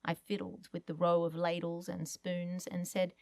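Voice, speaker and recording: A woman's voice: lowish voice, monotone, moderate pace, creaky, a little mellow, no echo. Woman reading a short story, dry sound. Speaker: guest reader. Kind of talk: literary short story read aloud to an audience.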